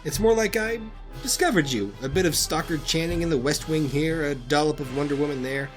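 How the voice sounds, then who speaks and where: A man's voice: fairly high pitch, a little fast, faint music behind, close to an everyday voice. A man playing a stubborn, rattled writer in an audio drama, talking in a glib, defensive, conversational way. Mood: glib, sheepish.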